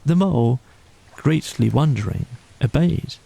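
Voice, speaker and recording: A man reads a bedtime story as an audiobook narrator, slowly and solemnly. His voice is mellow with a gravelly creak, and music plays softly behind his measured phrases. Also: medium pitch, expressive.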